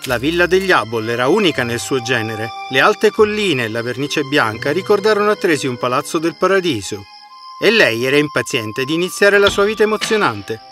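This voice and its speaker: Lively high-voiced male storyteller. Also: a little fast. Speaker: narrator. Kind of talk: scripted fairy-tale narration. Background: background music.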